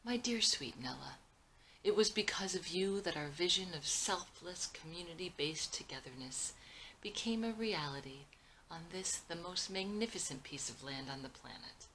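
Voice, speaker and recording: A woman's voice: fairly low pitch, lively intonation, moderate pace, bright, forceful tone, a little hiss or hum, rough recording. A woman voicing a sentimental, idealistic older founder and father, earnest and emotional, in a dramatic script reading. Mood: choked up, tender. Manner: earnest, emotional, speech-making.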